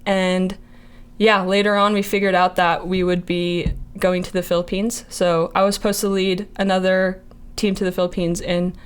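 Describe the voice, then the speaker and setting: A woman's voice: fairly low pitch, flat delivery, moderate pace, clear, resonant tone. A young woman in her early twenties from Montana, sharing a faith testimony in casual chat, hesitant with many fillers. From Montana, USA. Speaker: ministry intern.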